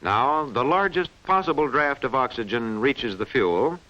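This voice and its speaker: A man narrates an instructional film with a bright, forceful voice. His intonation rises and falls in an animated way as he explains each point precisely and didactically. The sound is muffled and band-limited, like a vintage film soundtrack. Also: mid-range voice, unhurried. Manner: clearly enunciated, didactic delivery. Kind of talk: scripted educational film narration.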